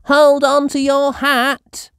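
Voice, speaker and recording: A storyteller voicing an excitable little girl piglet, bossy and cheerful, in a children's storybook. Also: high-pitched, slow pace, no room sound, studio quality, pitched above the performer's own voice, heavily acted. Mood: excited, urgent. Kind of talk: children's storybook read aloud.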